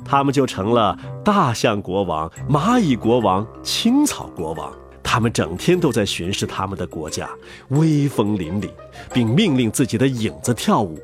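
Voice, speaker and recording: A man narrating a children's story in an expressive, playful way. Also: fairly low pitch, normal speed. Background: music.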